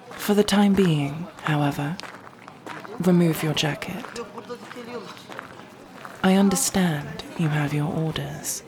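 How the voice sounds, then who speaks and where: A woman playing a regal, resentful, dutiful sorceress matriarch in a scripted ASMR roleplay, speaking in a formal, measured, imperious way. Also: sits low, background noise.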